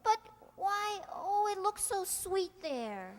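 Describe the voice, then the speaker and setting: Heard in an echoey hall, a woman plays an innocent, affectionate young niece in an old-time radio comedy. Her very high voice is lively and theatrical, full of wistful sincerity. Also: slow pace, full character voice. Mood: excited, concerned. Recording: echoey hall with long reverb.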